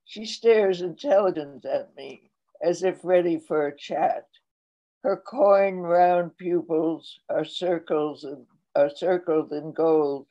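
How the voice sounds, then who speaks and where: An older woman in her eighties reads her poems aloud, slow and unhurried, with a mellow, darker tone. She speaks into a laptop at home, and the video call audio sounds dull and lo-fi. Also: lowish voice. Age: 80s. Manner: slow, measured reading voice. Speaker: poet reading her work. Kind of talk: poetry reading. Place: home room, indoors. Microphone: laptop webcam on video call.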